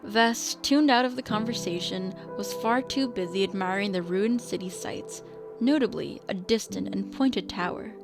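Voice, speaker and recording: A woman narrates a radio drama in a storytelling style. Her voice is medium in pitch, and music plays behind her. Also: normal speed, gravelly.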